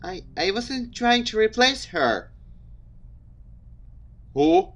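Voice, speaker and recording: A man voicing a troubled, prickly young man in a visual novel, halting and defensive as he speaks. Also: extremely high pitch, big pitch swings, vocal fry, a bit bright, voice pushed up, heavily acted. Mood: flustered, apologetic. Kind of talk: visual novel dialogue read aloud.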